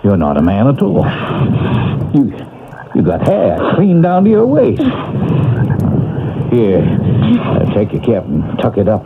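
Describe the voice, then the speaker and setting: Scornful soldier, slow rough drawl, lively pitch, tinny noisy radio sound. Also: male voice, exaggerated voice. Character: gruff male Confederate soldier, rough and scornful. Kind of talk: 1970s radio drama acting. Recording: old AM radio broadcast, noisy.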